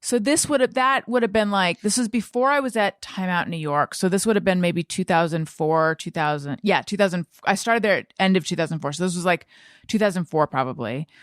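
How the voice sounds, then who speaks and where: A middle-aged woman hosting a casual podcast interview, chatty and self-deprecating, often thinking out loud as she goes. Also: on the low side, a little fast, no echo, clean studio sound.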